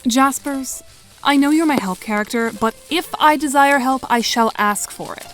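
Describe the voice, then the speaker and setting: A self-assured girl in an audio drama, played by a woman, with a fairly high voice. She is dry and a little stern, choosing formal, precise words over faint background music. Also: moderate pace, fairly bright tone.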